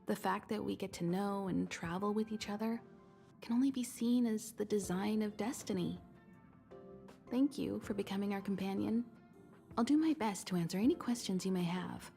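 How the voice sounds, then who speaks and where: A woman voicing a calm, wise and gracious mentor in a video game, with composed, elegant phrasing. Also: mid-range voice, normal speed, creaky, soft background music, natural voice. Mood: solemn, welcoming. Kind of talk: scripted video game voice acting.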